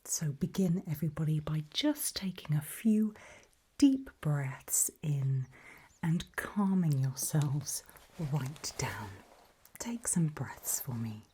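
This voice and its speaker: A woman playing a calm, faintly sinister official in a whispered ASMR roleplay briefing, breathy, measured and politely ominous. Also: low voice, a little hiss or hum, heavily acted. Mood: soothing.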